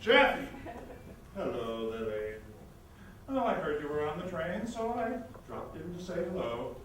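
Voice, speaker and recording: An actor playing a flamboyant, scheming impresario in a comic stage reading, mocking and melodramatic with a hammy, projected delivery. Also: male voice, on the high side, big pitch swings, unhurried, fairly bright tone. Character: flamboyant, scheming theatrical impresario, taunting a rival. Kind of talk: comic stage acting, readers theatre. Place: indoor stage or hall. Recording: echoey stage, distant mic, faint noise.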